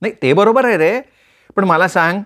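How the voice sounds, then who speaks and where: A man's voice: high-pitched, fairly bright tone, pitched above the performer's own voice. A man voicing a playful teasing young woman. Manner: teasing, quick, bantering. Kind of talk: storytelling with voiced characters.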